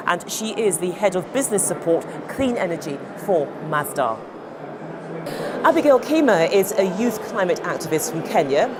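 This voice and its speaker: A woman hosting a broadcast interview, polished and upbeat in a conversational way. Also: mid-range voice. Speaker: interview host. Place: studio at an outdoor event. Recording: noisy background ambience with traffic.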